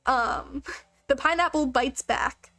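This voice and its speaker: A woman's voice: high voice, slow pace, creaky, bright, forceful tone, soft background music. A woman livestreaming a game, chatting casually with viewers in a joking, self-deprecating way. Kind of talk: casual rambling chat with viewers.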